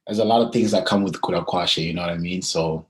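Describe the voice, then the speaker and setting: A man's voice: low voice, steady tone. A young man, chatting casually and hesitantly as an athlete being interviewed. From Zimbabwe and South Africa. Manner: hesitant, frequent pauses and "you know".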